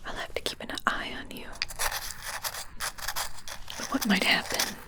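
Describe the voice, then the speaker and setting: A woman playing a curious, callous witch who tests her potions, in a slow, breathy whisper for an ASMR roleplay. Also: close to an everyday voice. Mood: sly curiosity.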